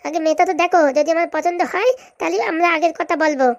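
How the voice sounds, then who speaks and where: Squeaky young cartoon matchmaker man. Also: fairly even intonation, racing, lo-fi sound. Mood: persuasive. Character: chatty young male village matchmaker, cartoon. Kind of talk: comic cartoon dialogue.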